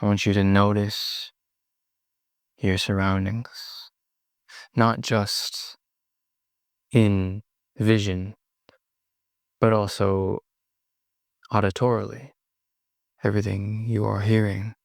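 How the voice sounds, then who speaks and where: A man guides a meditation in a low voice with plenty of gravelly fry. His delivery is very slow and soothing, broken into short phrases with long pauses between them. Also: little pitch movement, no room sound, studio quality.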